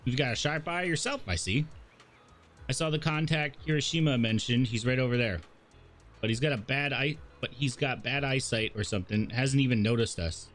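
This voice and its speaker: A man's voice: medium pitch, normal speed, a bit bright, lightly acted. A man voicing an easygoing, sly young game character with a casual, knowing manner. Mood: conspiratorial.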